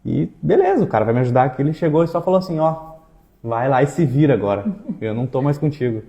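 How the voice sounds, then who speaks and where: A man with a southern Brazilian accent and a dark, mellow voice of average pitch. He chats casually, sprinkling his stories with slang and little tag questions. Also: sing-song, fast talker. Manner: colloquial, uses slang and frequent tags. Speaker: exchange agency staff member co-hosting a talk. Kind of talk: casual conversation, personal anecdotes.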